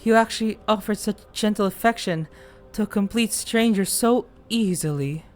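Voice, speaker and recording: A woman voicing a creepy, obsessively loving younger brother, speaking slowly in a courtly, ominously affectionate way over music. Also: medium pitch, natural voice. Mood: wounded, obsessive.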